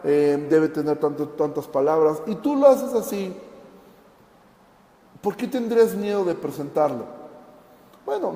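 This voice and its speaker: A man's voice: a little high, dark tone. A man preaching a sermon, teaching with rhetorical questions and acting out the complaints of his listeners. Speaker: pastor. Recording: echoey hall with long reverb.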